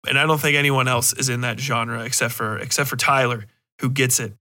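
A man with a bright, forceful voice talks as a podcast host. His delivery is loose and conversational, rambling from thought to thought. He is emphatic and freely profane. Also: mid-range voice, fairly even intonation, a little fast. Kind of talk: casual rambling chat.

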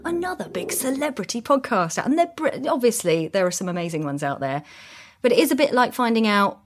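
A woman's voice: a little high, very animated, fairly quick. A British woman co-presenting a podcast in a chatty way, often ending statements with a tag question. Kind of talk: casual discussion of podcasting.

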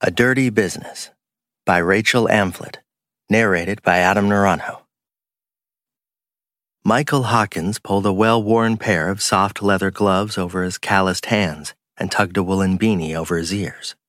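A man narrates a crime story in scripted audiobook style. His voice sits fairly low, and the recording is dry and close. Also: fairly even intonation, moderate pace, a bit bright, no echo.

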